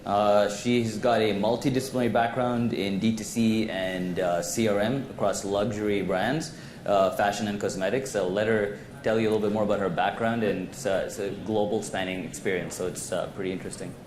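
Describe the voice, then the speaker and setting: A man's voice: on the low side, steady tone, a little fast, a little mellow. A man moderating a conference panel in a casual, loose way with many restarts. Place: conference hall stage. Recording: echoey hall, faint noise.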